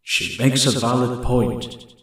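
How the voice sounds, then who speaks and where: A man voicing a proud, formal lawyer in a video game fan dub, with a dramatic, measured delivery. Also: mid-range voice, fairly even intonation, a bit bright. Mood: brooding. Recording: strong echo, music behind.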